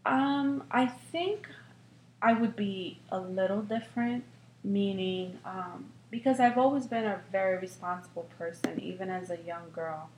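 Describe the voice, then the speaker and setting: A woman's voice: medium pitch, measured pace, a little mellow. A middle-aged woman answering interview questions in a reflective, hesitant way with frequent ums. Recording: echoey room with faint noise.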